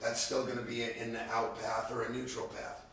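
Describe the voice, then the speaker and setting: A middle-aged man with a gravelly, raspy voice, a bit hoarse from a cold, giving a casual, blunt golf lesson on a livestream from an indoor simulator room. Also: mid-range voice, level tone, a bit bright, roomy sound, slight background noise. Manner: blunt, casual, drawn-out emphasis. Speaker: golf instructor.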